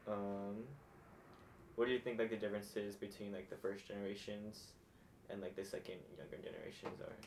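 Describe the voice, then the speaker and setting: Low, flat-voiced young interviewer. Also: male voice, a little fast, background noise. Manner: casual, hedges with "like" and "um". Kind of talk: casual interview questions.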